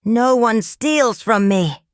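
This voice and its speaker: A gruff storybook pirate captain, acted out by a woman, bullying and bossy. The voice booms and growls out orders, lifted well above a soft narrating register, and sounds close and dry. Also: lively intonation, slow pace, no room sound. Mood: menacing. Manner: booming, growling, barking orders.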